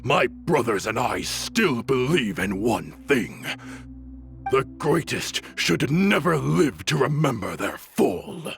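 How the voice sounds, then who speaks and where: A proud desert warrior chief who speaks slowly and gravely, his pitch swinging widely as he talks. He sounds worn down yet unbroken in his devotion to an ancient king. Game music plays faintly underneath. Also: very animated, heavily acted. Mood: solemn. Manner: solemn, measured, defiant. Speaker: game character. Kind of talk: video game voice acting.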